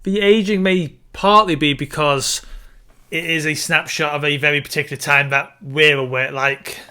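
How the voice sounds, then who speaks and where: Bright, higher-pitched male podcast co-host. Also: steady tone, moderate pace, smooth. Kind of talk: casual discussion of films and games.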